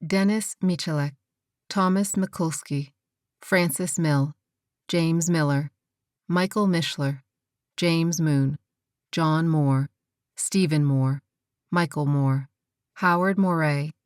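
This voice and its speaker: A woman reading a formal memorial roll call of names in a measured way, pausing after each one. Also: low-pitched, no room sound, clean studio sound. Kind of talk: formal read-out list of names.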